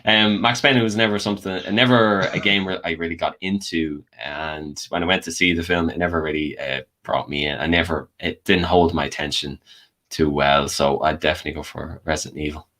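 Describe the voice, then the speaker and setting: A man with a low-pitched voice talks casually as a guest panelist. His delivery is informal, conversational and suited to a friendly debate. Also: fairly even intonation, brisk, sharp tone. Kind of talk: casual film debate chat.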